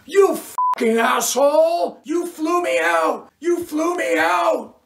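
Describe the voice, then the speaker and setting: A woman voicing a loud, volatile rock groupie who shouts and screams. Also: very animated, unhurried, background noise. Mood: screaming. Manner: shrill, shouting, screaming tirades.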